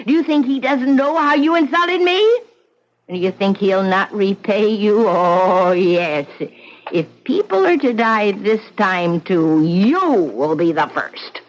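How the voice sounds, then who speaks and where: A bitter old widow who speaks slowly and darkly, her voice rising and falling widely with every grim warning. The sound is dull and muffled, like an old radio play recording. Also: mid-range voice, expressive. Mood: menacing. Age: older. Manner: dire, brooding, theatrical. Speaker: widow in wheelchair. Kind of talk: vintage radio drama acting.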